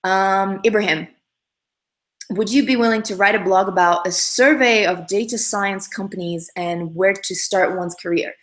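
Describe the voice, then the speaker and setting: A woman's voice: lowish voice, echoey room. A young woman whose first language is Russian, hosting a casual livestream and chatting upbeat through viewers' questions with many fillers and restarts. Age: young adult. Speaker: livestream host. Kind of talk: casual livestream chat answering comments.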